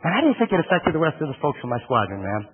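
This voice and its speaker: A man with an Alabama Southern accent giving an energetic keynote speech and firing questions at his audience. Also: mid-range voice, racing, breathy edge, narrow, tinny audio. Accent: Alabama Southern American. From Alabama, USA. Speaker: motivational keynote speaker.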